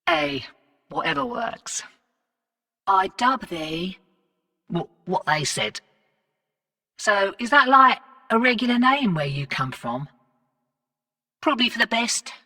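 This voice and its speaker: An actress playing a brash, wry, streetwise space-colony fixer in a video game, with a laconic, cocky, slangy drawl. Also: female voice, a little high, big pitch swings, takes it slowly, gravelly, pauses often, bright, forceful tone, in a large hall, far from the mic, full character voice. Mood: wry, casual. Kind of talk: scripted video game character dialogue.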